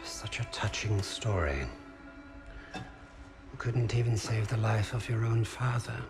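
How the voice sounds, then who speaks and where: An actor playing a menacing, oily Nazi officer in a war video game, coldly polite and mocking, with a German accent. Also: male voice, fairly low pitch, takes it slowly. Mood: mocking menace. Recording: loud music underneath.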